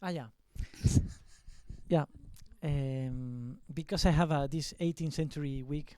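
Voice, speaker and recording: Guy, talks slow and halting, lots of ums, dry close sound. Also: fairly high pitch, steady tone, dark tone, dry recording. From Argentina. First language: Spanish. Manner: hesitant, many fillers and restarts. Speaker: musician being interviewed. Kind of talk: casual interview chat. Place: record store at a release party.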